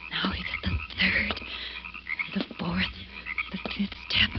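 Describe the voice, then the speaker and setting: An actress playing a terrified woman walking home alone at night, talking to herself in breathy, halting old-time radio horror style. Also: mid-range voice, level tone, lo-fi sound, natural voice. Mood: hushed dread. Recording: noisy, band-limited vintage radio recording.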